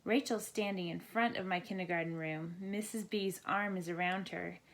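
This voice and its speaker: A woman narrating a children's bedtime story in deliberate, short, simple phrases. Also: on the low side, steady tone. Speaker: storybook reader.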